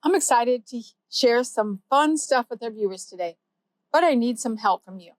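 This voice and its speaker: A curious woman host asking eager questions, her voice fairly high and the sound dry and close. She keeps things light and conversational. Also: lively intonation, normal speed, dry recording. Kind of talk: scripted chat with an AI.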